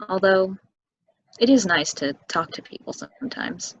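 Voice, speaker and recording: A woman at a stage play table reading, playing a calm, solitary young local who tells things in an unhurried, matter-of-fact way. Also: medium pitch, lo-fi sound, heavily acted. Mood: gently curious.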